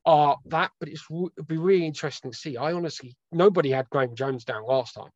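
A man from Wales talks casually as a guest over a video call, rambling and correcting himself with a dry sense of humour. His voice sits a little high. The call audio is dull and lo-fi, with a very dry sound. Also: quick pace, dry recording. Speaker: podcast guest, football fan.

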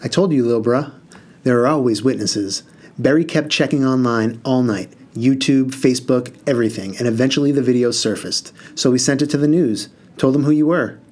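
A man's voice: medium pitch, normal speed, a little mellow, natural voice. A man doing a cocky, smirking older brother. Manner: smirking, laid-back slang.

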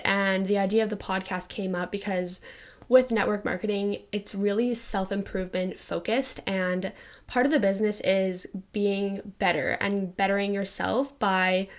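Gushing, excitable young woman podcaster. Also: medium pitch. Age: 20s. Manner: gushing, excitable, lots of "like" and "literally". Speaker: podcast host. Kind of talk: casual solo podcast chat. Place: quiet room at home. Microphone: home podcast microphone.

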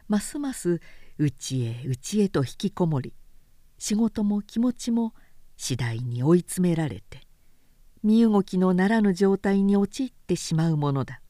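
A woman narrating a literary story in a calm, measured, soft-spoken manner. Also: mid-range voice, no room sound, studio quality. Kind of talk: literary reading.

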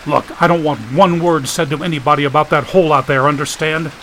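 A man's voice: on the high side, fairly even intonation, fast talker, sharp tone, a little hiss or hum. A man voicing a guarded, authoritative man in an audiobook, speaking tersely. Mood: stern. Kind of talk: audiobook character voice.

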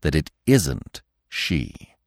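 A man voicing a wise old stag in a storybook, speaking earnestly with quiet, stern command. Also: very deep voice, sing-song, very slow, dry recording, clean studio sound, pitched below the performer's own voice, full character voice. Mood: grave, commanding.

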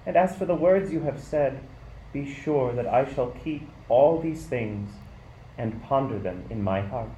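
A man playing a humble, devout young mother in an amateur radio play, speaking in a solemn, measured, reverent way. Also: medium pitch, dark tone, muffled recording, lightly acted. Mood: solemn, grateful. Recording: echoey room with background noise.

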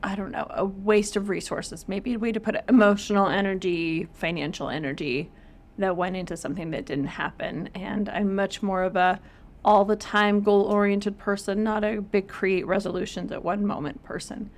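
Middle-aged female co-host, counselor type, reflective and measured in casual chat. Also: medium pitch, fairly even intonation.

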